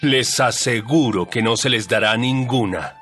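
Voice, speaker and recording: A man's voice: medium pitch, unhurried, fairly bright tone, lightly acted. An actor playing Jesus, an earnest teacher speaking emphatically to his followers in a dramatized audio Bible. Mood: reproachful. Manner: emphatic, questioning. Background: background music.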